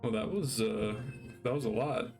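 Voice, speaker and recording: A man's voice: medium pitch, normal speed, darkish tone, faint music behind. A man chatting casually as a game streamer, reacting to what he has just watched.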